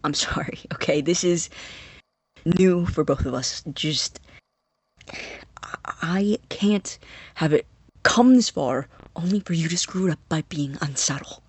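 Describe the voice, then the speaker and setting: A man playing a nervous, obsessive, awkwardly eager young student scientist in an audio drama, stammering in a breathy, husky voice. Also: fairly high pitch, lively intonation, measured pace, dry recording, full character voice. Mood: defensive, flustered. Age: young adult. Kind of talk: naturalistic audio drama acting.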